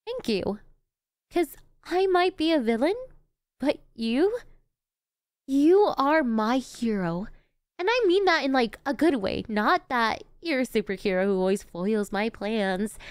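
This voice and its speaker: A woman's voice: on the high side, animated, slow pace, smooth, no echo, studio quality. A woman playing a gleeful, cocky yet doting female supervillain in an ASMR roleplay, with a theatrical, teasing, chatty manner. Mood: adoring, excited.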